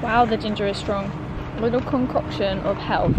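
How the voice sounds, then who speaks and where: A young woman chatting casually to a handheld camera at arm's length, bubbly and quick with asides. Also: mid-range voice, fairly even intonation, very noisy. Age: young adult. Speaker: vlogger. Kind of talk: casual vlog chat. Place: outdoors in a town, lawns and streets.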